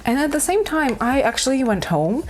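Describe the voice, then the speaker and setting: A chatty woman from Ukraine talks casually to camera about her crafts. Her pitch leaps up and down as she rambles through fillers and restarts. Faint music plays under her voice. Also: big pitch swings, brisk. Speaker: craft vlogger.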